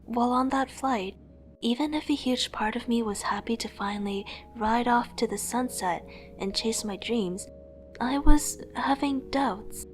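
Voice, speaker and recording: A high-voiced young woman artist, played by a voice actress tells her story in an earnest, wistful way. She has a rebellious streak, and music plays behind her in this comic drama. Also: measured pace, natural voice. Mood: reflective, wistful. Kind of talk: scripted first-person narration with acted dialogue.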